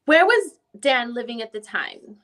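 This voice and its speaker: Woman reading as a higher-voiced, methodical female attorney. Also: big pitch swings, unhurried, fairly bright tone. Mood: matter-of-fact. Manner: formal, methodical questioning. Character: probing female defense attorney questioning a witness. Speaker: reader in an online dramatic reading. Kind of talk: reading a deposition transcript aloud.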